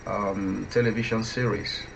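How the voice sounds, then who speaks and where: A man hosting a conversational radio interview, halting and repeating himself as he asks questions. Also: fairly low pitch, steady tone, vocal fry. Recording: dull, faintly noisy line.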